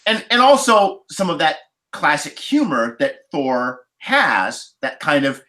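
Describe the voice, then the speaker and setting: A man with a bright, slightly high voice speaks as a panelist in a casual discussion. His intonation is very lively, and he builds points deliberately, restating phrases as he goes. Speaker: podcast panelist reviewing films.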